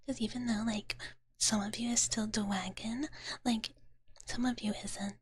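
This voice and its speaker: A woman whispering in a breathy, soft voice close to the microphone, chatting playfully and cutely with viewers on a live ASMR stream. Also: on the high side, steady tone, measured pace, dry recording. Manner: playful, cutesy big-sister persona speaking of herself in third person.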